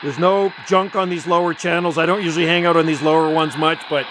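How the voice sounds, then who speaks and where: High-pitched, enthusiastic man. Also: steady tone, fast, background noise. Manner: enthusiastic, informal. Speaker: radio hobbyist filming his contact. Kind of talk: casual radio chat. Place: home radio room.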